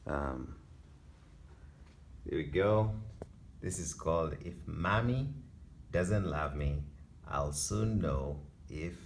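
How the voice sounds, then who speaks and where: A man with a Kenyan accent, from Kenya, talking through his photographs in a relaxed gallery talk. His voice sits very low and he speaks slowly, halting now and then with fillers and soft little laughs. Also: slight background noise. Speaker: photographer presenting his exhibition.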